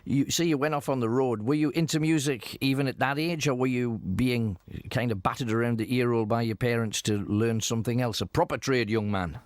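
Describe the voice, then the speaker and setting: Jovial radio interviewer. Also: male voice, medium pitch, a little fast, hardly any pauses, no echo, clean studio sound. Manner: jovial and teasing.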